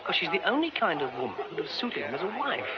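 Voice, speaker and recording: Earnest British theatre playwright, high-pitched, conciliatory, lively, on muffled radio drama audio. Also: male voice, fast. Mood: earnest, buoyant. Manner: polite, hesitant, conciliatory. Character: courteous, diplomatic male playwright-director, earnest. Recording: muffled radio drama recording, faint noise.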